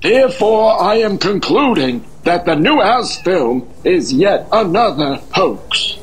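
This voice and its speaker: High, husky, pedantic scientist played by a man. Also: big pitch swings, exaggerated voice, poor audio. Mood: dismissive. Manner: pedantic, deliberate. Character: stuffy, dismissive male scientist lecturing colleagues. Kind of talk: 1950s-style radio drama acting.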